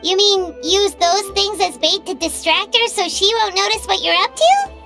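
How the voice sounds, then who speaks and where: A woman voicing a tiny, childlike fairy companion in a video game, squeaky, blunt and skeptical. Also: lively intonation, moderate pace, vocal fry, full character voice. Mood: puzzled. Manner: speaks of herself in third person, blunt. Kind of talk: anime-style video game acting. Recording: game music underneath.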